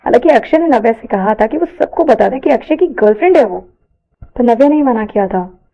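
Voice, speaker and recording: A woman reading a scripted story aloud as an expressive narrator. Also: a little high, brisk, lo-fi sound.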